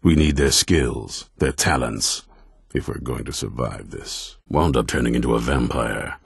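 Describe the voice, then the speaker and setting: An actor playing a grim, distrustful, battle-hardened vampire hunter commander in a video game, with a gruff, blunt, stern delivery and a gravelly, husky voice. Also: male voice, very deep voice, measured pace, no echo, studio quality, exaggerated voice. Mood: stern, measured. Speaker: leader of an order of vampire hunters. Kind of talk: video game character dialogue.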